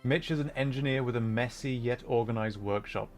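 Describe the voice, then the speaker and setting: A man hosting a gaming livestream, chatting casually and thinking out loud in a rambling way as he plays. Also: medium pitch, fairly quick. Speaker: livestream host. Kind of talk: casual gaming livestream chat while playing. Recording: music playing behind the voice. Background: music.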